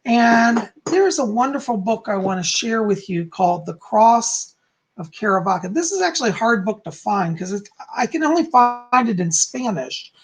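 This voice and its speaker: A calm, soft-spoken man who is hoarse and losing his voice, teaching on a livestream and reading aloud from a book. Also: high voice, normal speed. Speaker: psychic teaching about folk magic.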